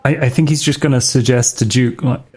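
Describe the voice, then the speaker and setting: Male tabletop player, average pitch, casual in-character talk, hesitant thinking-aloud delivery. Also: fairly even intonation, a little fast, breathy edge.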